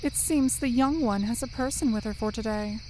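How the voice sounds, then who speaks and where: A woman's voice: fairly high pitch, fairly quick, natural voice. A woman voicing a cool, calculating unseen spirit servant, musing in a detached way for an audio roleplay. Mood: cool, intrigued. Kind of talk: ASMR roleplay acting. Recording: noisy track with crickets and insects.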